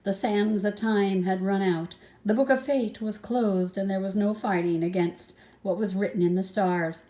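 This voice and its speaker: An older woman reading a picture book aloud as a grandmother, expressive and unhurried, with some hesitation. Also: medium pitch. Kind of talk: storybook read-aloud with soft asides.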